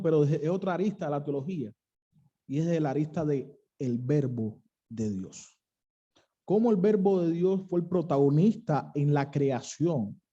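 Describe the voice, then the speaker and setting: A young man with a Cuban accent preaching an earnest sermon, pausing to stress key phrases. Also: fairly high pitch, mellow, lo-fi sound. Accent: Cuban, western coastal. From Cuba.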